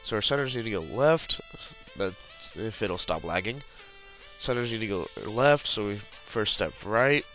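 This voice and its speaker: A man's voice: lowish voice, lively intonation, unhurried, sharp tone, soft background music, low-quality recording. A man with the flu, sounding congested, casually talking through a puzzle tutorial over a screen recording. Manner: casual, walks through steps while clicking. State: has the flu, congested and sniffling.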